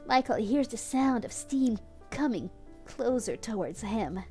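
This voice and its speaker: A woman streams a comedic read-aloud of fan fiction in a fairly high voice. She takes it at a slow pace and has a giggly manner, dropping asides to her viewers. Soft music plays behind her. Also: animated. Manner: giggly, often breaking into laughter while reading. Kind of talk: comedic live fanfic reading with chat asides.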